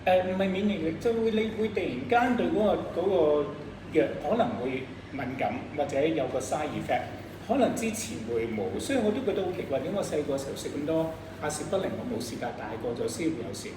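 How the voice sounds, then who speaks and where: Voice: mid-range voice, lively intonation, fast talker. A debate coach with a Hong Kong accent, explaining points to students and posing questions as they go. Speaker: debate coach teaching a class. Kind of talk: interactive lesson, explaining debate rebuttals. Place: echoey room, distant from microphone. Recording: echoey room from far off, noisy.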